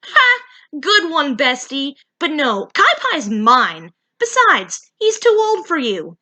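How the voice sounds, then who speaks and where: A woman's voice: high-pitched, sing-song, slow pace, bright, forceful tone, pitched above the performer's own voice, heavily acted. A woman voicing a brash, possessive teenage female fox fairy in a story, confident and snappy. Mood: smug.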